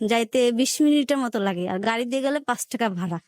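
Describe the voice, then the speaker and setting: A young Bangladeshi woman speaks in a high voice, recorded close and dry. She tells a personal story in everyday colloquial language, slipping into reported dialogue as she narrates. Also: expressive, fast, darkish tone, no echo. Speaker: guest telling her life story.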